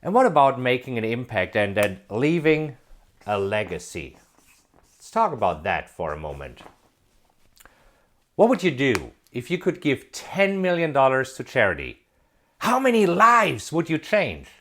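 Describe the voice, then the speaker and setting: A man in his forties giving an emphatic motivational talk, asking rhetorical questions and building excitement. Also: mid-range voice, a little slow. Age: 40s. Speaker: self-help video presenter.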